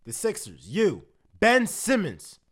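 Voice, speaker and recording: A man with a very high voice vents his frustration at his sports team, going back over the same complaints again and again. His voice sounds worn and strained from all the cheering, and he talks in heated, exasperated bursts. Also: huge pitch swings, takes it slowly, slightly rough. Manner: heated, repetitive, exasperated ranting. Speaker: sports fan. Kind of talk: ranting commentary to camera.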